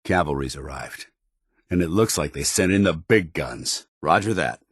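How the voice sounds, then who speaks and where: An actor playing a stern, loyal, zealous soldier in a video game, speaking gruffly with earnest, clipped military formality. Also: male voice, rumbling low, lively intonation, normal speed, dry recording, clean studio sound, heavily acted. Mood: firm, businesslike. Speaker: companion soldier character.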